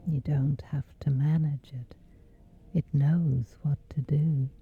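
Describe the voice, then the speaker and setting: Very slow, breathy low-voiced woman. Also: steady tone. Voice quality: soft, breathy, whispery. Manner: gentle, soothing, measured with long pauses. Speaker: guided meditation host. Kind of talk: slow guided sleep meditation. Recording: dull muffled audio under music. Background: music.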